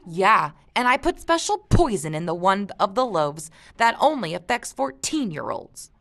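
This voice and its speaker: A woman's voice: medium pitch, big pitch swings, normal speed, creaky, a bit bright, pitched above the performer's own voice. A woman voices a twelve-year-old boy in an audiobook, the younger brother of the family. He is sardonic and understated, with a wry edge.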